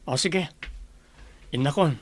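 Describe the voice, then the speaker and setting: A man's voice: fairly high pitch, takes it slowly. A man acting a plain-spoken young village suitor in an Ilocano radio comedy drama, matter-of-fact, with an Ilocano accent. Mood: firm.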